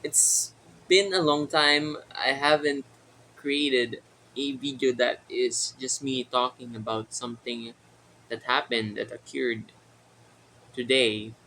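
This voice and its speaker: A young man with a Filipino accent, a native Tagalog speaker, talking to his viewers in a casual solo vlog with many pauses and fillers. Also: medium pitch, pushed and bright, a little hiss or hum, poor audio. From Philippines. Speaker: vlogger. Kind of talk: casual solo talk to camera.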